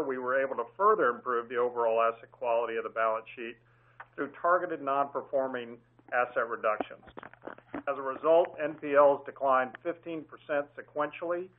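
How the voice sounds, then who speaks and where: Bank executive, male, mid-pitched, measured and businesslike delivery, heard through a thin phone-line sound. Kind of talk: earnings call remarks.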